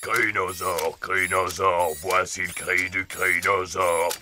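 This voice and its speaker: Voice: sits low, level tone, slow, noisy background. A performer voicing a roaring mechanical toy dinosaur in a children's cartoon, chanting and growling.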